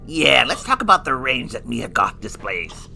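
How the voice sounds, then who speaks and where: A man's voice: mid-range voice, big pitch swings, normal speed, gravelly, pushed and bright, full character voice. An actor playing a snarky male horror host with a shriveled, burnt face, joking his way through a scripted review. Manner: jokey, theatrical, sarcastic. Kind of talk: scripted comedic review banter. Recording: music bed underneath.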